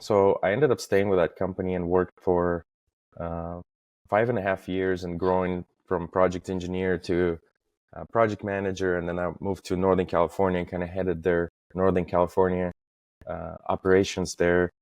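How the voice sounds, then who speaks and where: Low-voiced man, very even in pitch. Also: moderate pace, no room sound. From Estonia. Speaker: podcast guest, facade industry professional. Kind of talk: casual interview, recounting career.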